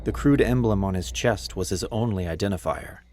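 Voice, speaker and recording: Male audiobook narrator, low register, dramatic scripted delivery over clearly audible ambient noise. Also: normal speed, a little mellow.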